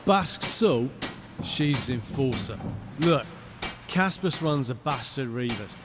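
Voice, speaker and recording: A man voicing a wary, gruff sailor in a video game, with rough colloquial talk. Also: medium pitch, lively intonation, slow pace, noisy background. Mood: guarded, matter-of-fact. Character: gruff, wary male sailor, former cabin boy. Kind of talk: video game character dialogue.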